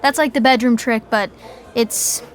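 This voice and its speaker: A woman narrating a scripted sitcom podcast with wry, deadpan asides. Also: fairly high pitch, measured pace, over music.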